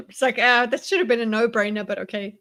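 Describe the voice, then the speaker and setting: A woman's voice: on the high side, fairly even intonation, fairly quick, a bit bright. A woman hosting an online panel in casual chat, with an inquisitive way of talking. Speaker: host of an online panel show.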